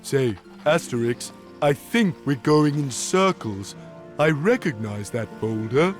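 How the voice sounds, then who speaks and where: A man's voice: medium pitch, lively intonation, slow pace. A man voicing a big, simple, good-natured and ever-hungry Gaulish strongman in a cartoon game, with a naive, hearty manner. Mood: plaintive. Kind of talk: animated game cutscene acting. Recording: music behind the voice.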